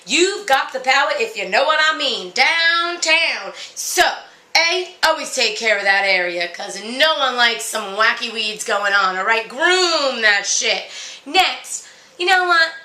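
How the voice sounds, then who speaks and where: Jaded married fairy-tale princess, played by a comedienne: brash, vain, gossipy, high and bright, in a roomy space. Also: female voice, lively intonation, measured pace. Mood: sassy, preachy. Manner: sassy, gossipy, crude, chatty asides to the ladies. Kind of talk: comedic mock interview character monologue. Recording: roomy, echoey sound.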